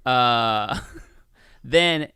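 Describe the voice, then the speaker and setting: Clear-voiced man, excitable casual chat. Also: medium pitch, extremely slow, no echo, clean studio sound. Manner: excitable, profane, rambling enthusiast. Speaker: podcast co-host reviewing a film.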